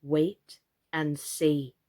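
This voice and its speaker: A woman voicing a small, bossy wooden doll in a children's storybook, speaking in a hard, sharp, commanding way. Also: low voice, little pitch movement, extremely slow, pitched below the performer's own voice. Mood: firm, assured.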